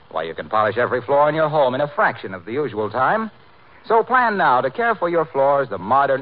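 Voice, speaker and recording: An American man announcing in scripted 1940s radio style, polished and salesmanlike. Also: on the high side. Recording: muffled 1940s radio recording.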